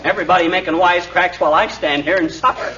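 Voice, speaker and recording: An actor playing a blustering, exasperated husband who grumbles and wisecracks in a 1940s radio comedy. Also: male voice, sits high, fairly even intonation, fast, pushed and bright. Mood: indignant, pleading. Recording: noisy, dull 1940s radio recording, distant.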